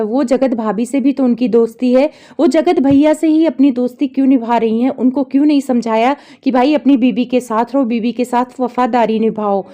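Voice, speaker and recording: A woman's voice: high voice, mellow. A woman vlogger giving gossip commentary, speaking directly to her viewers. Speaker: reaction vlogger presenting recordings.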